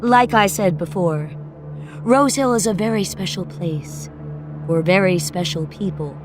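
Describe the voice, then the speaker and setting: A woman's voice: lowish voice, unhurried, music in the background, lightly acted. A woman voicing a kindly, matter-of-fact male caretaker with polished customer-service patter, in a dramatised ghost story. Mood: calm, matter-of-fact. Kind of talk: dramatised audiobook dialogue.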